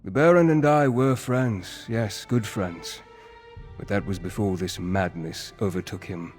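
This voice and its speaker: An actor playing a suave lesser noble in a fantasy video game, measured, articulate and wry as he shares gossip. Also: lowish voice, a little mellow, faint music behind, natural voice. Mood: emphatic, confiding.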